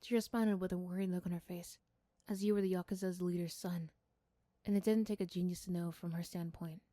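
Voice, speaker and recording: A soft-spoken woman giving scripted second-person story narration in an ASMR roleplay style. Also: fairly low pitch, steady tone, brisk, no room sound, studio quality. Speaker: storyteller and voice performer.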